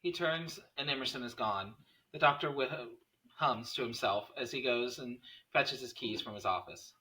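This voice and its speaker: Guy reading stage directions for a script, really bright forceful voice, mid pitch. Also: moderate pace, low-quality recording. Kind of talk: scripted screenplay narration.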